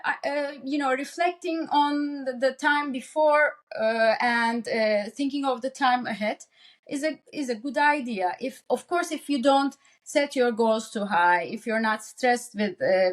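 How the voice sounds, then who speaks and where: A woman from Turkey with a Turkish accent, giving conversational interview answers as a psychiatrist, often halting and repeating herself as she searches for words. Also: fairly high pitch. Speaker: psychiatrist, podcast guest.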